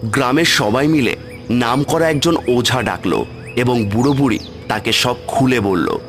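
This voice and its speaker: A male storyteller with a medium-pitched voice narrates a scripted horror tale for a cartoon. Loud music plays behind him. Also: expressive, a little slow.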